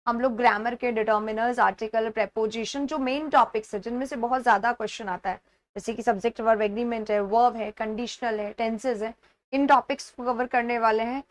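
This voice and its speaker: A woman's voice: on the high side, fairly even intonation, fairly quick. A woman with an Indian accent teaching an exam-prep lesson step by step, repeating key words and checking that students follow. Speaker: English teacher.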